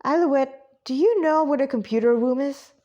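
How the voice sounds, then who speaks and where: A woman's voice: sits high, moderate pace, mellow, muffled recording, voice pushed up, lightly acted. A woman voicing a legendary female android warrior, curt, stern and dismissive, in an amateur story reading.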